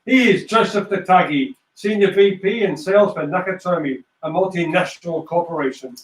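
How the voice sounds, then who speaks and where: High-voiced man narrating from afar. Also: moderate pace. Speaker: narrator reading stage directions. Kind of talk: scripted narration, live script reading. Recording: echoey room, distant mic.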